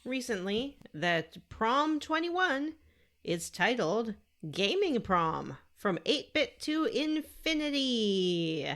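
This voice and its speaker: A woman's voice: a little high, very animated, slow, a bit bright. A woman co-hosting a casual podcast, chatting and reading out gaming news. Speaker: podcast co-host.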